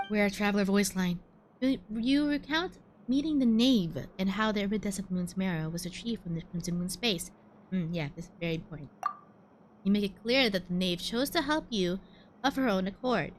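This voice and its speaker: A woman's voice: mid-range voice, moderate pace. A woman game streamer giving casual commentary and reading on-screen text aloud. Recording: faint background game noise.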